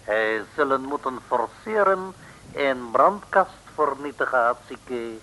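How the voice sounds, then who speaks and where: An actor playing a sly, cringingly polite foreign villain in an old radio serial, talking in broken, formal phrases. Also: male voice, mid-range voice, takes it slowly. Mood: sly, flattering. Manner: broken grammar, self-abasing flattery, formal address. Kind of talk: 1960s radio serial acting. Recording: noisy old radio recording.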